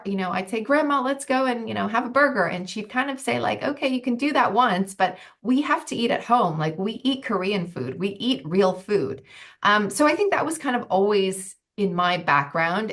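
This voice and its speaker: A woman's voice: lowish voice, a bit bright. A woman in her forties talking as a nutritionist guest in a casual interview, thoughtful with frequent ums and restarts. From United States.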